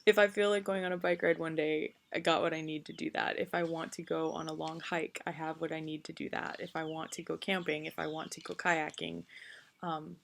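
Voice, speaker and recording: A woman's voice: low-pitched, little pitch movement, fairly quick, vocal fry, a little hiss or hum. A woman in her late thirties talking earnestly and reflectively to camera in a casual vlog, with frequent ums. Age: 30s. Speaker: vlogger.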